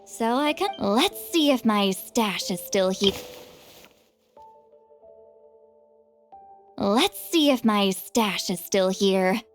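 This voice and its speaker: A woman's voice: medium pitch, animated, takes it slowly. A woman voicing a centuries-old shaman with a youthful voice, shy and easily flustered. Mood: relaxed. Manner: hesitant, stammering, fussy. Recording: game audio with faint music.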